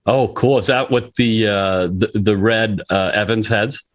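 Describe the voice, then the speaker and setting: A man interviewing a musician over a video call in a loose, friendly way. His voice sits slightly low, and he sounds relaxed and eager, often saying dude and man. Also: unhurried. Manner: laid-back, enthusiastic, says dude and man a lot. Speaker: interviewer, host.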